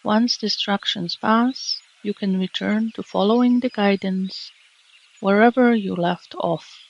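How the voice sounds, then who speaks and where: Slow-paced woman guiding a meditation. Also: medium pitch, vocal fry, lo-fi sound. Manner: gentle, calming, measured delivery with long pauses. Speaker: meditation teacher. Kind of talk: guided meditation narration. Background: birdsong and nature sounds.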